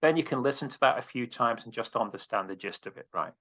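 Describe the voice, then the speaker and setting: Bright-voiced, blunt male presentation coach. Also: mid-range voice, animated, quick pace. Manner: direct, casual, swears freely. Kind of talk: interview answers, advice.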